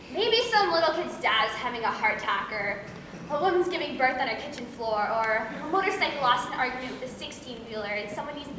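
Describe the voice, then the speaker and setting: A woman acting a lonely, wistful girl in a confessional, reflective amateur stage monologue. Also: high voice. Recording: echoey hall, distant mic, noisy.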